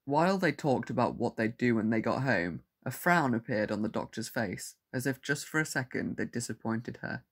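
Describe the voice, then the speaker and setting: A man's voice: medium pitch, animated, moderate pace, few pauses, a bit bright. A man narrating a scripted science fiction audio drama as its storyteller. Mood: measured storytelling.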